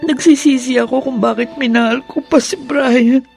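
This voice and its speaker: A woman's voice: high voice, fairly even intonation, moderate pace, vocal fry, darkish tone. An actress with a Filipino accent playing a sorrowful, guilt-ridden young mother, plaintive, in a radio drama. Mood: tearful guilt. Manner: tearful, plaintive. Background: music.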